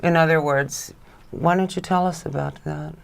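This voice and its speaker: A talk show host interviewing guests in a clear, smooth voice, asking direct questions. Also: medium pitch, steady tone, moderate pace.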